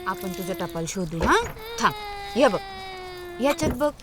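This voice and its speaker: A woman's voice: mid-range voice, very animated, normal speed, close to an everyday voice. An actress playing a kind village mother who soothes a crying boy, speaking in a coaxing, motherly way. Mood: soothing.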